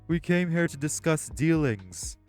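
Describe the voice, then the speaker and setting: A man's voice: a little high, animated, measured pace, a little mellow, faint music behind. An actor playing a composed, formal male crime ringleader who speaks in a stern, proper way. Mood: scolding. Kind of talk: audio roleplay acting.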